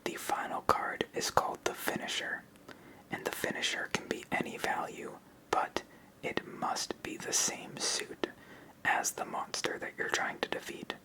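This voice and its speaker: Slow whispering ASMR voice, sex unclear. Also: low-quality recording. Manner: soft, unhurried step-by-step explaining. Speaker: host of a card game channel. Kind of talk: ASMR whispered tutorial of a card game.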